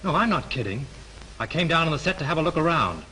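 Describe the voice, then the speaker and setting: A man's voice: fairly high pitch, very animated, fast talker, sharp tone, low-quality recording. A young man playing a confident amateur sleuth with a breezy, joking manner, in a 1930s film. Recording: hissy 1930s film soundtrack.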